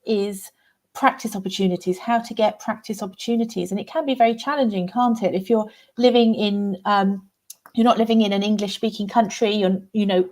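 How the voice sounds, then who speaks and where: A woman co-hosts a relaxed discussion in an even, mid-pitched voice. She talks thoughtfully and often finishes her points with tag questions. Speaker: co-host, head of educational content. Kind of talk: casual discussion.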